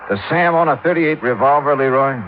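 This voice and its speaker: An actor playing a wry, cool American insurance investigator in a 1950s radio detective drama, clipped and conversational. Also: male voice, fairly high pitch, brisk, a little hiss or hum, close to an everyday voice. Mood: probing. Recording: very dull, lo-fi old radio recording.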